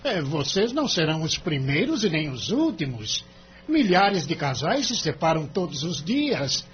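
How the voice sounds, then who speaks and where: A man's voice: fairly high pitch, big pitch swings, normal speed, a little hiss or hum. A man playing a tactless, smug, mocking lawyer in an audio drama, with a pompous, chuckling manner. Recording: dull lo-fi recording.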